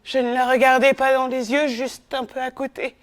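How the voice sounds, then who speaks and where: A woman performing a poetic theatre monologue on stage, incantatory, moving between whispers and loud declamation. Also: sits high, flat delivery, moderate pace.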